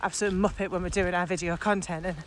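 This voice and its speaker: A woman's voice: on the low side, little pitch movement, fast. A woman, chatting casually as a podcast co-host. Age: 40s. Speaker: podcast co-host, business owner. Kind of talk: casual conversational podcast chat. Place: outdoors at dusk. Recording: faint outdoor background noise.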